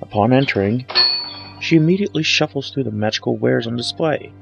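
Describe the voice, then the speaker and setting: A man's voice: fairly quick, gravelly, muffled recording. A man reading a fan fiction story aloud as a dramatic scripted narration. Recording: low-grade home microphone, music bed. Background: soft dramatic background music.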